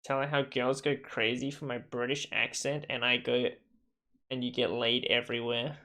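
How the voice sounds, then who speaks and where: A man's voice: mid-range voice, little pitch movement, moderate pace, bright, forceful tone. A man giving casual livestream gaming commentary in a slangy, sweary, bantering way. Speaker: livestream gamer.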